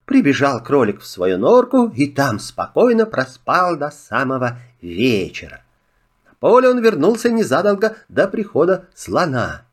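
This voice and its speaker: A man tells a children's fairy tale at an unhurried, careful pace. His fairly high voice rises and falls with lively expression. It is clean, scripted storybook narration.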